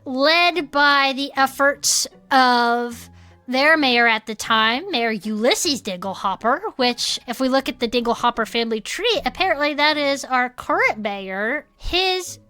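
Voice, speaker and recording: An actress playing an earnest small-town cryptid club member in an audio drama, telling local monster lore in a folksy, suspenseful way. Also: female voice, sits high, lively intonation, measured pace, sharp tone, smooth, heavily acted. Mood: eerie, suspenseful. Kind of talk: conversational audio fiction storytelling.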